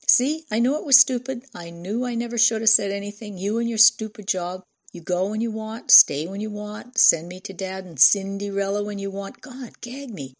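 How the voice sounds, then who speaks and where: A woman's voice: on the low side, animated, normal speed, hardly any pauses, a little mellow. A woman voicing a bored, defiant teenage girl in an audiobook, slangy and snappy. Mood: angry, fed up.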